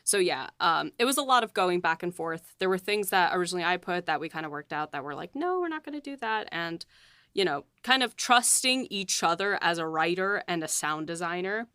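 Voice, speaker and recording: A woman co-hosting a podcast and talking through her writing process, animated, with easy laughs and lots of fillers. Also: fairly low pitch, a little fast, smooth, dry recording, studio quality. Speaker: podcast co-host and series writer. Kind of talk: casual chat.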